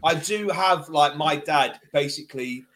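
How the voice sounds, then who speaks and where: A man in his twenties who grew up in Wales, telling stories excitedly on a casual podcast, rambling with lots of fillers. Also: fairly high pitch, a little slow. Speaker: podcast guest. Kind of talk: casual chat.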